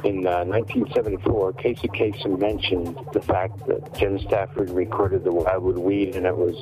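Man, American, very low pitch, listener voicemail, slight music underneath. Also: flat delivery, normal speed, rough recording. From suburban Detroit, US. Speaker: listener leaving feedback. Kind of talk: voicemail message.

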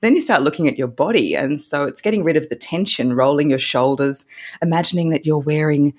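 A woman with an Australian accent, a voice coach explaining confidence tips in a relaxed interview, laughing often as she talks. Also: low voice, brisk, breathy edge. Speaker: voice coach guest. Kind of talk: conversational interview.

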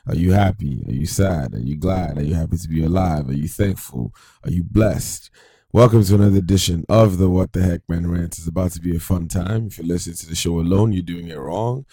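A man's voice: low-pitched, brisk, dark tone, slightly rough, no echo, clean studio sound. A young man hosting a casual podcast rant show, hyping the audience and joking with his co-hosts. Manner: hype opener, then loose joking chat with laughs. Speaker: host.

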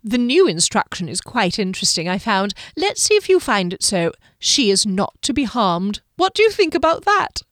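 A woman's voice: mid-range voice, big pitch swings, normal speed, few pauses, a bit bright, no room sound, studio quality. A woman voicing a pale male lieutenant who is cheerful and menacing, speaking in a mocking, theatrical, sing-song way. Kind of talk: audiobook character voice.

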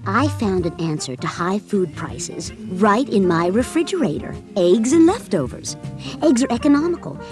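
A woman's voice: medium pitch, sing-song, normal speed. A woman delivering a scripted testimonial in a radio commercial. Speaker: advertisement speaker. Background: music.

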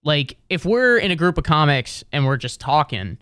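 A young man hosts a loose podcast with his comedian friends, swearing a lot and firing off rants that leap upward when he gets worked up. His voice is smooth and resonant, and it sounds close and dry with no room around it. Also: moderate pace, no room sound. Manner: animated, profane, jumps up in pitch when ranting. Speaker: stand-up comedian, podcast host. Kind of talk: casual podcast chat.

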